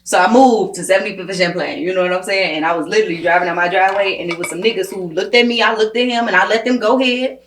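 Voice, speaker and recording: A woman with an American accent chatting casually as an interviewer, animated and slangy, repeating phrases for emphasis. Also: medium pitch, rapid-fire, few pauses. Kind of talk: casual chat. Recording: roomy echo.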